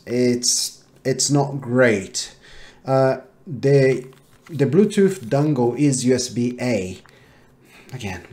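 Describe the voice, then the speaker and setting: A man in his thirties with a soft-edged, mellow voice talks through a product review in a small home office. His delivery is casual and halting, as if thinking aloud while he goes. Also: medium pitch. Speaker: tech reviewer.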